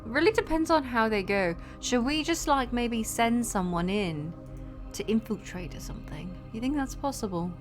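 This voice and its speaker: A woman's voice: on the high side, lively intonation, moderate pace. A woman playing a tabletop roleplaying game, chatting casually and improvising in character. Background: music.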